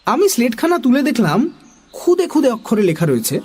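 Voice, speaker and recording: A curious young storyteller, voiced by a man tells a children's nonsense tale in a high, very lively voice. Faint music runs underneath. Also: a little fast, husky, full character voice. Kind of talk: animated scripted narration of a children's story.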